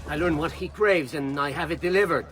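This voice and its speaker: An actor playing a sly, nervous government official in a video game, smug and then defensive. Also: male voice, a little high, fairly quick, a bit bright, natural voice. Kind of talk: video game cutscene acting.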